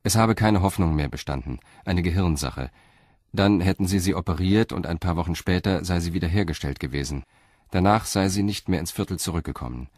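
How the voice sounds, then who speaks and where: Deep-voiced male crime narrator. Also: a little fast, a little mellow, no echo, clean studio sound. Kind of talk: scripted crime story narration.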